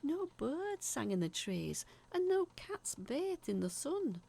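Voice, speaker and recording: Actress as haunted female letter writer for audio drama: confiding storyteller, creaky edge, very lively intonation. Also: high-pitched, slow pace, exaggerated voice. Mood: eerie, hushed storytelling. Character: woman letter writer recalling eerie childhood tales, earnest, haunted. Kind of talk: dramatic scripted narration, read as a letter.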